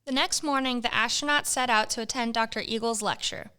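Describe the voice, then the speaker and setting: A woman narrates a comic adventure in a brisk storybook style. Her voice is bright, smooth and somewhat high.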